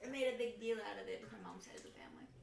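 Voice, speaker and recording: A woman's voice: medium pitch, vocal fry. Playful woman chatting from afar. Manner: playful, mimics and repeats lines loudly. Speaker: co-host. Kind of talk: casual chat. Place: hot tub. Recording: echoey room, mic far off, noisy.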